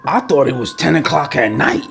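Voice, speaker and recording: A woman's voice: deep voice, normal speed, vocal fry, over music. A woman playing an exasperated parent in a comedic family skit, scolding dramatically. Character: exasperated, scolding parent arguing with a bratty kid.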